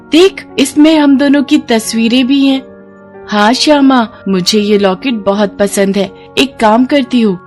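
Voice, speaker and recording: Storybook child: woman acting a devoted little girl, affectionate, higher pitch, background music. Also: darkish tone, natural voice.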